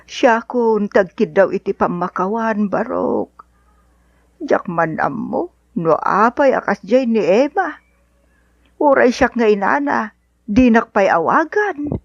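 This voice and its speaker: Sad ilocano mom, slow creaky lamenting voice, apologetic, dull dry radio drama recording. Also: female voice, a little high, expressive, no room sound, full character voice. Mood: ashamed, sorrowful. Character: sorrowful ashamed middle-aged mother, apologetic and weary.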